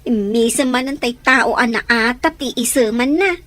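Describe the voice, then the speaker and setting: A woman's voice: a little high, measured pace, fairly bright tone. A woman playing an eager, teasing young friend in an audio drama. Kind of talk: Ilocano audio drama acting.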